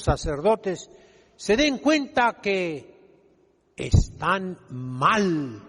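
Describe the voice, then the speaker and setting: Older male preacher, extremely slow delivery, emphatic scolding homily, long church reverb. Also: sits high, fairly bright tone. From Mexico. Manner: emphatic, scolding, deliberate with dramatic pauses. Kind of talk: fiery homily, exhorting the congregation. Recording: echoing hall with long reverb.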